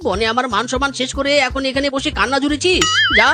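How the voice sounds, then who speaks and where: A woman's voice: sits high, fast, over loud music, lightly acted. A woman voicing an exasperated, hot-tempered animal husband in a comic cartoon, blustering with exclamations. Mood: angry scolding. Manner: blustering, repeats a catchphrase exclamation. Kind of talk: exaggerated comic cartoon acting.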